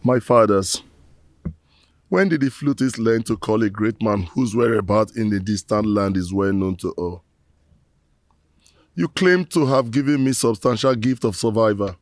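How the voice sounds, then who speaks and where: A man's voice: fairly low pitch, normal speed, dry recording, faint music behind, lightly acted. A Nigerian man acting a remorseful middle-aged rogue who laments and pleads in formal, theatrical speech. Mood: lamenting. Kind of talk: theatrical screen drama monologue.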